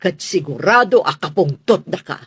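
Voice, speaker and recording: A stern Filipino mistress of the house in a radio drama. She scolds haughtily in a husky voice with big swings of pitch, recorded dry and close. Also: animated, normal speed, no echo. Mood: suspicious, disapproving. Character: stern, class-proud wealthy mother and mistress of house.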